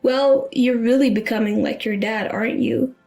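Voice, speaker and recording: A woman's voice: on the high side, steady tone, moderate pace, a little mellow, soft background music, lightly acted. A woman narrator voicing a worried, probing mother with an earnest, questioning manner. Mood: nagging. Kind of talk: dramatised story dialogue.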